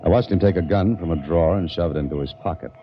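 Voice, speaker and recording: A man's voice: sits low, racing. An American actor playing a hunted innocent man on the run in a 1940s radio mystery, terse and desperate, with clipped hard-boiled delivery. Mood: tense, haunted. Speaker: lead actor and first-person narrator. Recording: muffled, noisy 1940s radio recording.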